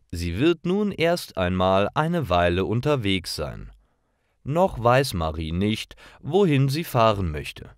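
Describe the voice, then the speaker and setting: Male narrator, for learner stories. Low pitch, dry close sound, careful articulation of simple scripted text. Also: dry recording. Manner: simple, carefully articulated read-aloud delivery.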